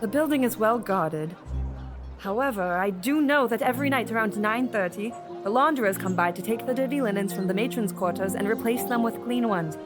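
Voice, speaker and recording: A woman playing a cautious, sensible Victorian woman who speaks in careful, proper, measured phrases, in an audio drama. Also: fairly high pitch, over music, close to an everyday voice. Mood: grave, resolved. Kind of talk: children's audio drama acting.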